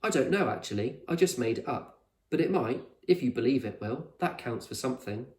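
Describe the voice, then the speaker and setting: Bloke reading as a kind practical girl in a kids adventure story, brisk, echoey room. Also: medium pitch, animated, darkish tone, far from the mic, natural voice. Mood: reassuring.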